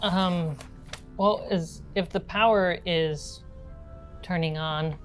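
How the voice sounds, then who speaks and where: A woman's voice: on the low side, steady tone, slow, soft background music. A woman playing a solemn, hesitant young girl survivor in a tabletop horror roleplaying game. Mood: hesitant, matter-of-fact.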